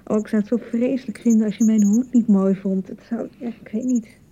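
An actress with a Dutch accent playing a young, anxious newlywed bride in a radio drama, touchy and fretful, chattering and repeating herself. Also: female voice, on the high side, fairly even intonation, a little fast, mellow, breathy edge, lo-fi sound. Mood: relieved, fragile. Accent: Dutch (Netherlands). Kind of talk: naturalistic radio drama acting.

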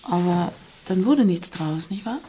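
An actress playing a persistent, sly journalist in a naturalistic radio crime drama, needling and self-assured. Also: female voice, fairly low pitch, lively intonation, unhurried, warm tone, slight background noise. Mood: needling, confident.

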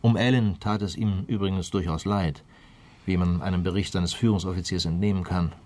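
An actor playing a shrewd police detective in a radio crime play, questioning in a pointed, deliberate way. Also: male voice, low voice, fairly even intonation, a little mellow, lightly acted. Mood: grimly insistent. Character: shrewd, probing male police detective pressing a suspect. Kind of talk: German radio play dialogue.

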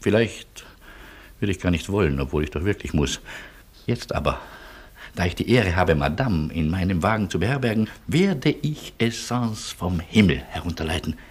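A wry, harried little businessman on the run, with a low, husky voice. He argues in ornate, ironic flourishes, anxious yet resourceful, in the manner of a radio play. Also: lively intonation, moderate pace. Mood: courtly, wry. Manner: exasperated irony, rhetorical flourishes. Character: anxious, sardonic, wordy businessman refugee, resourceful optimist. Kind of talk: German radio play acting.

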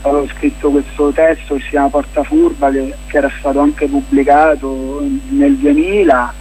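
A man with a husky voice, speaking as a guest in a casual radio interview, explaining his projects in a hesitant, rambling way. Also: fairly high pitch, little pitch movement, moderate pace, darkish tone, a little hiss or hum, low-quality recording. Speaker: playwright and documentary filmmaker, interview guest.

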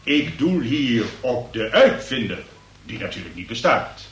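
A man's voice: mid-range voice, big pitch swings, measured pace. A man acting a pompous, long-winded examining magistrate in a comic radio play, speaking in ornate legal phrases. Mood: lecturing. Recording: noisy, echoey room, distant from mic.

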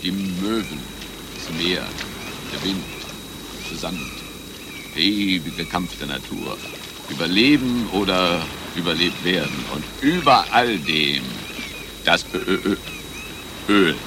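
Pompous, stuttering spy chief. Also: male voice, sits low, expressive, slow pace, long pauses. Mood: brooding, grandiose. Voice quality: stutters on single letters and syllables. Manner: pompous, theatrical. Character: eccentric, pompous spy chief given to grand musings. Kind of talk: satirical German radio play acting. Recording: noisy outdoor ambience behind the voice.

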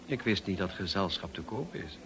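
An actor playing a brusque, dryly witty upper-class gentleman in a radio play, clipped and commanding, on a slightly noisy old recording. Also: low voice, lively intonation, moderate pace. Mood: dry, teasing. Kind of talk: radio drama acting.

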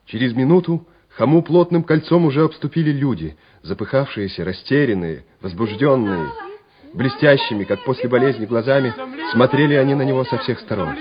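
A man's voice: mid-range voice, fairly quick, crowd noise. Male radio drama narrator, muffled sound. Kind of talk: dramatic scripted narration of a war story. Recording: dull old radio drama recording. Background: music.

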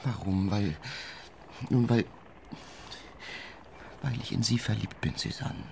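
A man's voice: fairly low pitch, creaky, a little mellow, a little hiss or hum. A man acting a passionate, jealous, scheming married journalist in a German radio play, impulsive and theatrical. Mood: jealous, agitated. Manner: impulsive, theatrical, halting when emotional.

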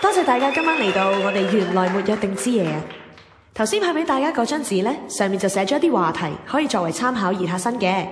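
An actress playing an upbeat speed-dating emcee in a radio drama, announcing with a Hong Kong Cantonese accent. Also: female voice, a little high. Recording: echoey hall with long reverb.

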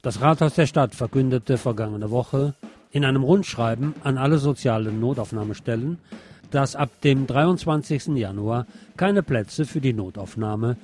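A man's voice: mid-range voice, moderate pace, darkish tone. A man presenting scripted magazine news on the radio, with a wry, ironic touch in his delivery.

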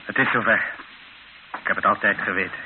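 A man's voice: fairly low pitch, fairly even intonation, brisk, natural voice. Een man die in een hoorspel een hoffelijke, berustende vader van middelbare leeftijd speelt. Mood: resigned, bitter. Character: resigned, courteous colonial father, Monsieur Moreau. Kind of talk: radio play acting. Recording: muffled, hissy old radio recording.

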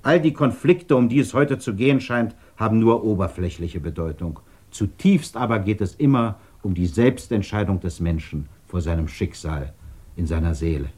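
An actor playing a grave, fatherly man of principle, speaking in measured, formal sentences in a 1950s radio drama. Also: fairly low pitch, darkish tone, breathy edge. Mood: earnest, philosophical. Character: grave, principled, fatherly interrogator with firm convictions.

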